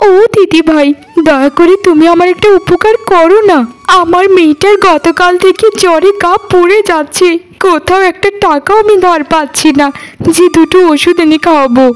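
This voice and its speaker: Cartoon role: worried, pleading village mother in poverty performed by a woman, high-pitched and gently imploring. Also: moderate pace, poor audio. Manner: soothing, imploring. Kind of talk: Bengali cartoon dialogue.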